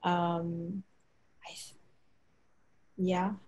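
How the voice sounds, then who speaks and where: Flat-voiced woman moderating a call. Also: on the low side, drawn-out words, rough recording. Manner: flat, chatty, many fillers. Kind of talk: panel discussion on a video call.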